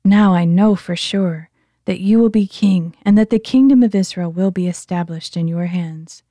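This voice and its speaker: A woman's voice: lowish voice, normal speed, mellow, dry recording, clean studio sound, close to an everyday voice. A woman reading the lines of a remorseful older king who speaks with raised emotion in a scripture reading. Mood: contrite.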